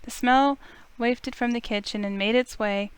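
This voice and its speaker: A woman with a smooth, clear voice, reading a visual novel aloud as expressive first-person story narration. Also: on the high side, a little slow, no room sound.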